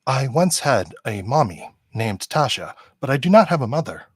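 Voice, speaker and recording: A man playing a husky, literal-minded android. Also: mid-range voice, big pitch swings, moderate pace, no echo. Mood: puzzled, literal. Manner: formal, precise, no contractions. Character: polite, literal-minded male android officer.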